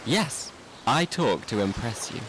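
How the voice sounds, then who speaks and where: A man's voice: mid-range voice, expressive, unhurried, noisy background, close to an everyday voice. An actor playing an intense, slightly drunk middle-aged man in a literary radio drama, probing and rhetorical. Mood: brooding. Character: tipsy, intense middle-aged man, needling old friends.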